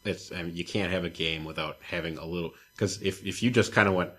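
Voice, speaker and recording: A man's voice: low voice, fairly even intonation, fast, sharp tone. A man co-hosting a podcast in casual, rambling and teasing banter about video games, casually profane and feeling under the weather. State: feeling unwell. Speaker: podcast co-host.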